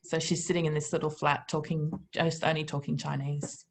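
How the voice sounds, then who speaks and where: A woman's voice: sits low, little pitch movement, normal speed, poor audio. A woman speaking in an online meeting, earnestly encouraging colleagues over a video call. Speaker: meeting participant. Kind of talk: casual meeting discussion.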